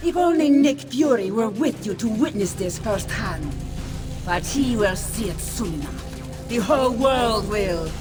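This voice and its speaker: A woman playing a vengeful, coldly poised supervillain leader in a video game cutscene, deliberate, menacing and grandiose. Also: fairly high pitch, expressive. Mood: smug. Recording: music playing behind the voice.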